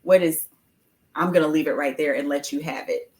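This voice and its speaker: A woman's voice: sits low, moderate pace. A woman hosting an interview, speaking in a thoughtful, appreciative way as she frames her questions. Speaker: host. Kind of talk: conversational interview.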